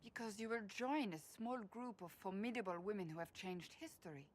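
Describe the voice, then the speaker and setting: An actress voicing a stern, devout female warrior in video game dialogue, proud and earnest, speaking in a low, firm, measured way. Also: animated, close to an everyday voice.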